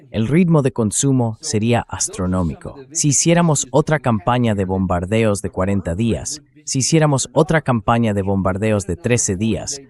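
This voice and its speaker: A man's voice: lowish voice, darkish tone. A man hosting a political commentary show, explaining his points emphatically and addressing viewers directly.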